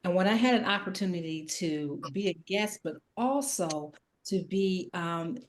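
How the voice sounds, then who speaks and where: A woman's voice: lowish voice, expressive, measured pace, a little hiss or hum. A woman guest on a video call speaking earnestly and encouragingly, ending with blessings. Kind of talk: heartfelt testimony on a video call.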